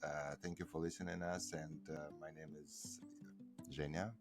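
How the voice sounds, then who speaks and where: A man's voice: a bass voice, level tone, moderate pace, over music. A man with a Russian accent hosting a podcast, introducing his guest hesitantly with many fillers. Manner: hesitant, many fillers, non-native phrasing. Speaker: podcast host.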